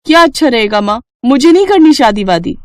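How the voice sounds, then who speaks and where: Young Indian daughter acted by a woman, pitched up, bouncy intonation, pert and pleading, for family story dialogue. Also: big pitch swings, a little fast, no room sound, clean studio sound. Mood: protesting. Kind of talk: animated Hindi moral story dialogue.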